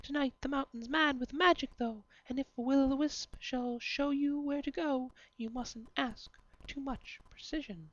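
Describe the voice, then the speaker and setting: Flighty marsh-light spirit, female reader: deferential, lilting verse, highish voice, slightly muffled recording. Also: steady tone, moderate pace, no echo, close to an everyday voice. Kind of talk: amateur dramatic reading of verse. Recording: slightly dull, lo-fi sound.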